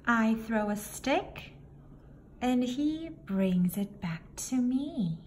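A woman reading a picture book aloud to young children, in simple phrases with deliberate pauses. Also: fairly high pitch, animated. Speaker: children's story reader. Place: indoor room, book filmed on a table. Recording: faint room noise behind the voice.

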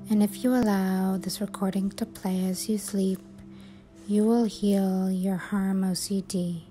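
Female meditation guide: very slow, even delivery, soothing, measured phrasing, music underneath. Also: mid-range voice. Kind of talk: guided sleep hypnosis, affirmations.

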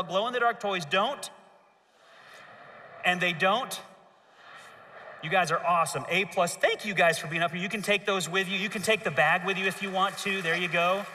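A man in his thirties giving a children's sermon on a church stage, asking the audience to repeat after him. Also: high-pitched. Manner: lively, gestures and asks the audience to repeat. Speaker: pastor. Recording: hall reverb.

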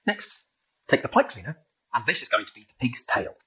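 A middle-aged British man gently and encouragingly demonstrating a craft step by step in simple instructions. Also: medium pitch, very animated, brisk, husky. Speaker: craft presenter. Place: indoor room with timber-framed walls, at a table.

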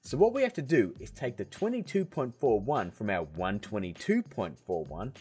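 A man hosting a software tutorial, explaining steps in a casual, upbeat way. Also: lowish voice, very animated, normal speed, breathy edge, faint music behind. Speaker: video tutorial host.

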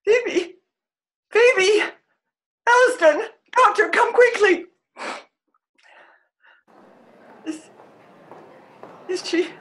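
An older actress playing a loving, torn married woman in a period radio drama, heartfelt and halting. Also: extremely high pitch, fairly bright tone, exaggerated voice. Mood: distraught. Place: room at home, video call.

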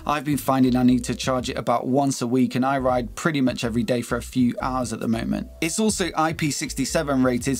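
A British man in his thirties giving a conversational, explanatory product review to camera as a motorcycle gear reviewer. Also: medium pitch. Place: small home office, indoors.

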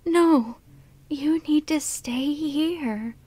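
A woman voicing an indifferent cartoon hospital receptionist with a deadpan drawl. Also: high-pitched, drawn-out words. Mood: bored, indifferent.

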